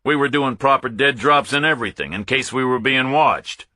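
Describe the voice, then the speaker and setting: A man's voice: medium pitch, moderate pace, sharp tone, no room sound, voice pushed up, lightly acted. A man voicing a cornered Pentagon officer in a thriller audiobook, arrogant and impatient, insisting on his story in a glib, defensive way. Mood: impatient, scornful.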